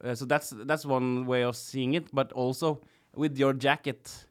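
Halting, teasing man. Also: mid-range voice, level tone. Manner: halting, many restarts and fillers, teasing. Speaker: clown, podcast guest. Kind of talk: casual conversation.

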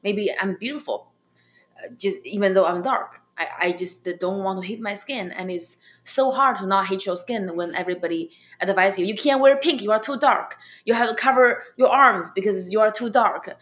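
A young adult woman from China with a Chinese accent, a comedian telling her story as an interview guest, halting with many fillers and restarts. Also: medium pitch, a bit bright.

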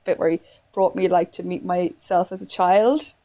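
A woman's voice: on the low side, steady tone, moderate pace, warm tone. Chatty Irish woman in her thirties. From Ireland. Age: 30s. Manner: candid, chatty, swears casually. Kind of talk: casual conversation.

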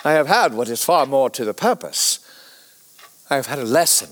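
A man playing an anguished, evasive Victorian doctor in a period drama, halting and fervent in his speech. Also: medium pitch, lively intonation, a bit bright. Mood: anguished, weary. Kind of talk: period audio drama acting.

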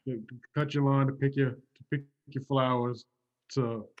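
Man acting a drunk lecherous patron, mid-pitched, halting innuendo, slightly dull recording, improv comedy. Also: little pitch movement, natural voice. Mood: suggestive.

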